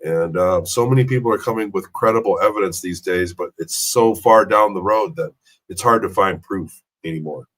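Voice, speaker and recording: A man talking as a guest on an online show, hoarse from a cold. His voice sits fairly low, and he talks in an earnest, rambling way with many fillers. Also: normal speed, fairly bright tone. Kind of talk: casual interview talk.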